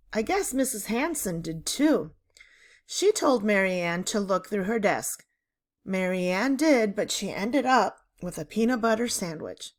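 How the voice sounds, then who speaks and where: Female narrator for children's chapter book. Unhurried delivery, highly varied intonation, expressive storyteller. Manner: deliberate, animated storytelling. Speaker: read-aloud narrator.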